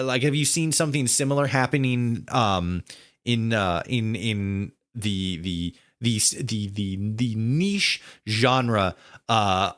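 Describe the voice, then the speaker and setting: Lowish-voiced male host, halting and roundabout. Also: fairly bright tone. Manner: halting, roundabout questions, heavy use of "like". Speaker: podcast host, interviewer. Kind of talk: casual conversational interviewing.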